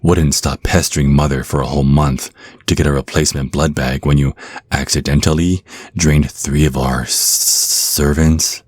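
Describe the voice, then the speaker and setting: A man's voice: extremely low pitch, fairly even intonation, moderate pace, no echo, clean studio sound. A man playing a menacing vampire naga kidnapper in an ASMR roleplay, coaxing and mock-polite. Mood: teasing. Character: menacing, smooth-talking vampire naga brother, male kidnapper.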